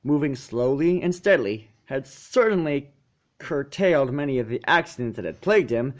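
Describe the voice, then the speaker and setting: Animated male story narrator, lively pitch. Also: moderate pace, highs cut off. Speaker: fan fiction narrator.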